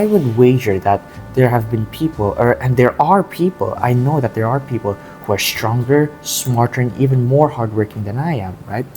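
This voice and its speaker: A man from Southeast Asia talking casually and earnestly in a reflective vlog, halting and repeating phrases as he goes. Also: mid-range voice, darkish tone, low-quality recording. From Southeast Asia, grew up in Thailand and the Philippines. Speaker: amateur bodybuilder vlogger. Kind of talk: casual confessional vlog talk.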